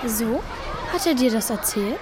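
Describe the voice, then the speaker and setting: A female-sounding performer playing a loudmouthed, mocking schoolyard bully boy in a radio play. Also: on the high side, animated, a little slow, a crowd in the background, noisy background. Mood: sneering, threatening. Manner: mocking, taunting. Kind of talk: German radio play acting.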